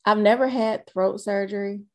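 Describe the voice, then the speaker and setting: A woman in her thirties slowly recounting a personal experience, halting often with fillers. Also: mid-range voice, fairly even intonation. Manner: slow, halting storytelling with many fillers. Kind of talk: personal testimony.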